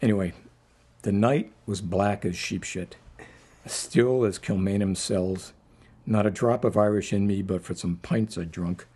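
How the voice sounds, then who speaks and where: A man speaking informally as a poet at a live reading, rambling and self-deprecating, with a husky voice. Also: fairly low pitch, measured pace, mellow. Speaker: poet introducing and reading own poem. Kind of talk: informal live poetry reading introduction with anecdotes.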